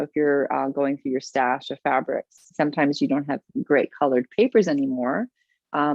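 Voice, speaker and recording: A woman's voice: sits low, no room sound, dull audio. A woman teaching art over a video call, effusive and full of praise, often restarting her sentences. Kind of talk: warm, gushing teaching chat.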